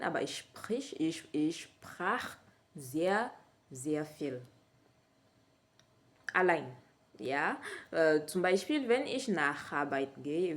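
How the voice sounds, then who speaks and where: A woman speaking German with a French accent as a learner, hesitant and often stopping to correct herself in a casual vlog. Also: deep voice. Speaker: language learner sharing her method. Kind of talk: casual vlog talk to camera.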